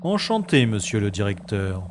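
A man's voice: lowish voice, big pitch swings, normal speed, slight background noise. A man playing a suave, self-important special agent, wry and drily polite, in a science-fiction audio drama. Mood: suave bluffing.

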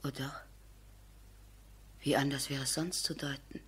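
An actress playing a grieving, resolute noblewoman in a radio play, very breathy and often near a whisper. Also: rumbling low, fairly even intonation, measured pace, a bit bright, exaggerated voice. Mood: anguished, resolute. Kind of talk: German radio play acting.

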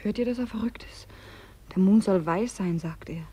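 An actress playing a frightened, shivering daughter in a German radio play, speaking in a breathy, hushed voice. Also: female voice, medium pitch, normal speed, natural voice. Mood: hushed, alarmed. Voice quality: breathy, whispery.

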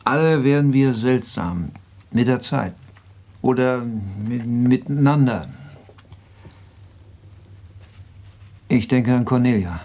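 An actor playing a bitter, mocking drinker in a radio drama, with halting, sardonic delivery. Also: male voice, mid-range voice, lively intonation, a little mellow. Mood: brooding. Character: bitter, mocking hard-drinking man in a sour relationship. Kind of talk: naturalistic radio drama acting.

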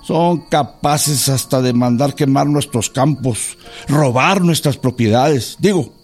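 A man's voice: medium pitch, slow, darkish tone, slightly rough, faint music behind. A man playing an earnest, educated Mexican businessman turned revolutionary in a radio drama, polite, hesitant and persuasive. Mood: earnest, insistent.

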